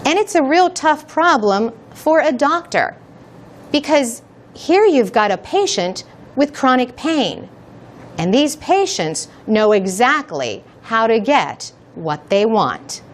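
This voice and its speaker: Slow, lively-voiced woman news reporter. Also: on the high side. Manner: clear, deliberate delivery. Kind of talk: slow scripted news reading for learners.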